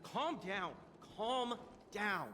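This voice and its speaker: An actor playing a blustering, easily panicked officer in a video game, shrill, indignant and flustered. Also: male voice, lively intonation, drawn-out words, sharp tone, soft background music, heavily acted.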